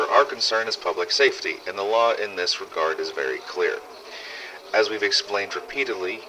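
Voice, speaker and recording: A man playing a stern police captain, reading a formal letter in a measured, bureaucratic way. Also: sits low, lightly acted. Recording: clearly noisy recording.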